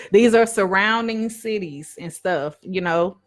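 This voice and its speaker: A woman's voice: mid-range voice, takes it slowly. A woman minister giving a casual live-streamed devotional talk, emphatic and repetitive in a preaching cadence, addressing viewers as y'all.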